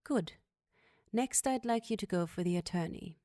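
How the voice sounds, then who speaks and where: Mellow, coldly commanding female crime boss acted out. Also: fairly low pitch, normal speed, no room sound, clean studio sound, close to an everyday voice. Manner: imperious, dismissive, casually cruel. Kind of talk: audio drama acting.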